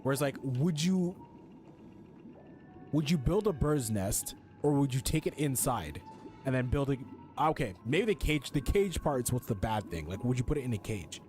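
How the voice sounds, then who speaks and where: A man's voice: medium pitch, expressive, moderate pace, darkish tone, faint music behind. Slangy young male game streamer. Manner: slangy, sweary, chatty. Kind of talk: casual gameplay commentary.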